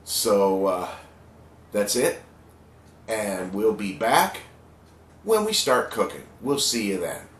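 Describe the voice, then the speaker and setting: A man in his fifties hosting a casual home cooking video from his kitchen, talking in a slow, homely, chatty way and sounding a little congested. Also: lowish voice, expressive, a bit bright, echoey room, a little hiss or hum. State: slightly congested.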